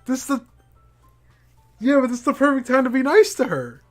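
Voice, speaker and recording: Brief: man streaming a game, jokey banter, bursts of loud laughter. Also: very high voice, lively intonation, fairly quick, faint music behind. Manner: joking, laughs loudly. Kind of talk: let's play commentary.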